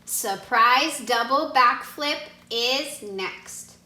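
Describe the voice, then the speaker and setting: A woman reading a children's storybook aloud expressively, pausing to put questions to her young listeners. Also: on the high side, sharp tone. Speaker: read-aloud narrator, teacher asking listeners questions. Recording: echoey room.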